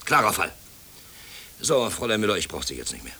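An actor playing a brusque, overworked middle-aged company director in a German radio play, officious and self-pitying. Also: male voice, on the low side, sing-song, brisk, sharp tone, slightly rough, exaggerated voice. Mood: irritated. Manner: brusque, officious, brooding when alone. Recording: hissy old radio play recording.

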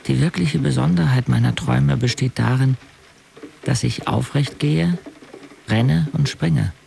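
A man's voice: mid-range voice, a little slow, vocal fry, slight background noise. An actor playing a determined young man who tells his own story matter-of-factly in a radio drama. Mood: reflective. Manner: matter-of-fact, terse present-tense telling. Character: young wheelchair-using man, determined arm wrestler telling his story. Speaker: narrator and main character. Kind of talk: scripted first-person radio drama narration. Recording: loud music behind. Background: music.

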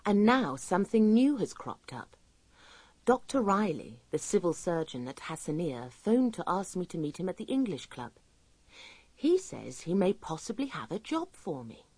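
A brisk, sensible English nurse narrates her diary for radio drama. Her voice is low and husky with very lively intonation, over faint music. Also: no echo, exaggerated voice. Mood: matter-of-fact.

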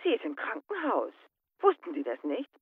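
A professor's secretary on a tinny phone line in a radio play. Her voice is extremely animated, leaping up and down in pitch. She is polite, courteous and solicitous. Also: normal speed, husky, full character voice. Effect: telephone filter. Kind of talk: radio drama acting.

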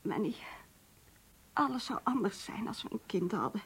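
An actress playing a neglected, complaining wife in a radio play, plaintive and emotional. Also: female voice, mid-range voice, expressive, moderate pace, a bit bright, breathy edge, full character voice. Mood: pleading, sad. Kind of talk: Dutch radio play acting.

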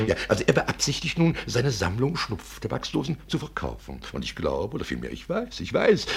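An actor playing an elderly, courteous, fussy collector in a 1950s radio play, effusively polite, hesitating and repeating himself in a husky, creaky voice. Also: male voice, lowish voice, heavily acted. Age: older. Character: courteous, fussy old gentleman collector of snuffboxes.

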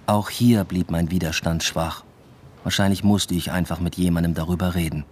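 A man's voice: low-pitched, flat delivery, normal speed, a little mellow, a little hiss or hum, natural voice. An actor playing an introspective academic who narrates his own story in a subdued, reflective way in a radio drama. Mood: measured, wry. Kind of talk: literary first-person radio drama narration.

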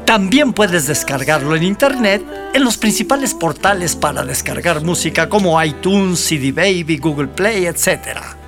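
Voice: mid-range voice, animated, moderate pace, over music. An announcer with a Mexican accent reading a scripted radio promo.

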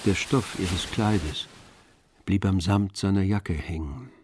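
Low-voiced male radio drama narrator. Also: takes it slowly, noisy background.

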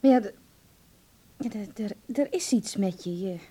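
A woman's voice: medium pitch, animated, moderate pace, warm tone, husky. An actress playing a doting, fussing middle-aged mother in a Dutch radio play. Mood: wondering, fond. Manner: fond, fussing, exclaiming. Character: doting middle-aged mother welcoming her son home.

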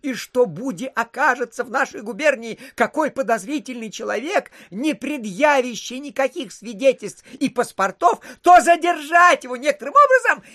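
An actor playing a fussy, pompous, easily alarmed provincial official in an audio play, declaiming officiously. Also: male voice, very high-pitched, animated, moderate pace, hardly any pauses, sharp tone, full character voice.

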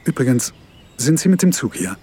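An actor playing a courteous mourner in a naturalistic radio drama. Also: male voice, mid-range voice, big pitch swings, normal speed, warm tone, slightly rough. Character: courteous male mourner offering a lift. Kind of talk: naturalistic German-language radio drama acting.

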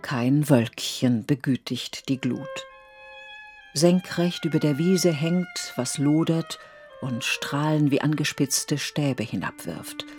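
Narrator for literary radio drama: measured, poetic, mid-range voice over soft music. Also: medium pitch.

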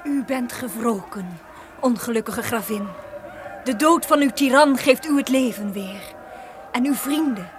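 A woman's voice: fairly high pitch, measured pace, a little hiss or hum, natural voice. An actress playing an earnest, emotional lady in an old-fashioned radio drama. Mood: consoling.

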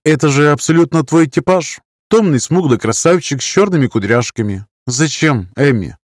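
A man's voice: a little high, expressive, moderate pace, no room sound, pitched above the performer's own voice. A man voicing a wry, guarded woman in an audiobook, who speaks in terse, dry, probing lines. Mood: accusing. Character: wry, guarded woman narrator confronting her friend. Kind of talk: audiobook character dialogue.

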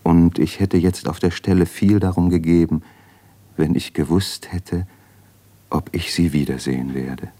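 A man's voice: low voice, steady tone, a little slow, mellow. An actor playing a brooding, sensitive man recalling his youth in an introspective, intense radio drama monologue. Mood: wistful.

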